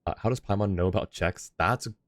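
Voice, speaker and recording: A man's voice: fairly low pitch, quick pace, no room sound, clean studio sound. A man hosting a game livestream, thinking aloud and chatting analytically with viewers in a casual way. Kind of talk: casual live-stream commentary, chatting with viewers. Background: game music.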